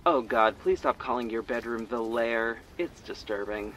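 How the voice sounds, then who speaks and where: An actor playing a friendly young shopkeeper and amateur sleuth who jokes around and gets easily flustered. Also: male voice, medium pitch, moderate pace, noisy background, natural voice. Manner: lively, joking, easily flustered.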